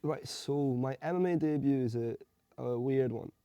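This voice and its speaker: A young man from Hungary, an amateur fighter telling his story in a relaxed, rambling way. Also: mid-range voice, moderate pace, no echo. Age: young adult. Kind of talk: casual interview answers.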